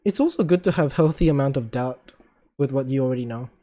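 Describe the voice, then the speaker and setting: A young man with an Australian accent hosting a casual chat, asking calm, probing questions and laughing often. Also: medium pitch, fast talker. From Philippines and Japan heritage, living in Australia. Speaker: host.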